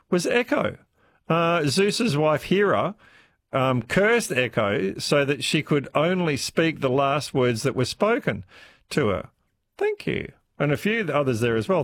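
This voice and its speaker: A man's voice: fairly high pitch, breathy edge, no room sound. A man hosting a talkback radio show, reading listener messages in a friendly, conversational way. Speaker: radio host. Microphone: studio microphone.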